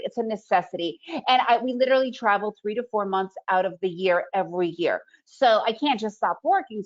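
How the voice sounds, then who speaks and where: A middle-aged woman whose first language is Russian, giving an energetic, motivational online trading presentation and often asking "right?". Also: medium pitch. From Soviet Union. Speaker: trading educator presenting a webinar. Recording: slightly dull lo-fi webinar audio.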